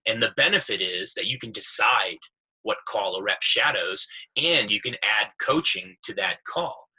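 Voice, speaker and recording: A man's voice: lowish voice, animated, moderate pace, bright, forceful tone, breathy edge, poor audio. A man being interviewed as a sales executive, explaining conversationally with examples in an emphatic, informal way. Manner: emphatic, informal, acts out quoted remarks.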